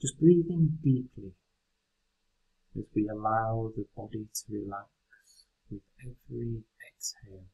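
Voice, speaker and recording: Soft, husky man guiding meditation. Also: fairly low pitch, expressive, highs cut off. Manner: gentle, unhurried instruction. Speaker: meditation guide. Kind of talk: guided meditation.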